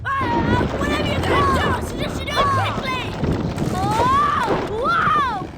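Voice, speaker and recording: An actor playing a plucky, excitable English schoolboy wizard, blurting and anxious, in a video game. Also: extremely high pitch, measured pace, music in the background. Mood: panicked. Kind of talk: video game cutscene acting.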